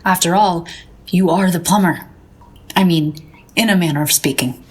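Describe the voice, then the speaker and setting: A woman's voice: lowish voice, normal speed, husky. An actress playing a disillusioned, cryptic woman painter, dry, detached and philosophical, in an audio drama. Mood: dry, deflated. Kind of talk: naturalistic audio drama dialogue.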